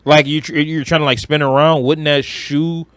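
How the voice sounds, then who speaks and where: A man co-hosting a podcast, chatting casually about films in a blunt, animated, slangy way with some swearing. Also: on the high side, moderate pace, no room sound. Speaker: podcast co-host.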